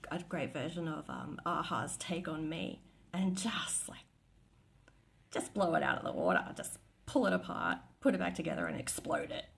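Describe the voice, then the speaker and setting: A woman's voice: lowish voice, gravelly, a little hiss or hum. A woman chatting casually and gushing about her favourite bands, rambling with lots of fillers. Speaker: vlogger. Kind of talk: casual chat.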